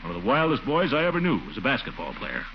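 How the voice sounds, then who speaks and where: Blustery, wildly animated pompous uncle. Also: male voice, mid-range voice, fast talker, gravelly. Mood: stern, blustering. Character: blustery, pompous, exasperated middle-aged uncle and guardian. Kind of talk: 1940s radio comedy acting. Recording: noisy, dull old radio recording.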